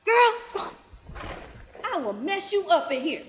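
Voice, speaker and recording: A woman's voice: very high-pitched, big pitch swings, slow, slight background noise, exaggerated voice. A woman acting an abusive, volatile, drug-addicted mother in a stage play, confrontational and threatening. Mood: furious, threatening. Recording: roomy, distant stage pickup.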